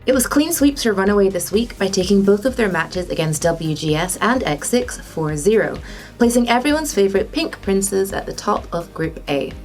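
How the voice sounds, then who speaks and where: A woman's voice: medium pitch, very animated, normal speed, faint music behind. A woman presenter reading scripted gaming and esports news.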